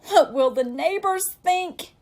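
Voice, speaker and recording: Storybook mother dragon voiced by a woman: high, anxious, fussing, emphatic. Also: expressive, slow, a little mellow, exaggerated voice. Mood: worried. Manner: worried, emphatic.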